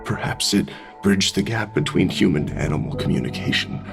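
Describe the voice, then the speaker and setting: A laid-back swine from an audio drama with a very deep voice full of creak. The pig is sly and teasing, drawing out its phrases as it coaxes, over a clear music bed. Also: male voice. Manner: calm, coaxing, drawn-out teasing phrasing. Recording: music bed under close binaural voice.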